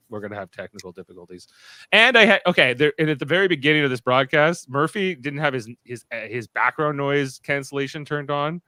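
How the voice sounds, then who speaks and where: A man's voice: medium pitch, sharp tone, dry recording. A man hosting a podcast in casual unscripted chat, rambling and often interrupting himself. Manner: halting, rambling, self-interrupting. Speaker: podcast host.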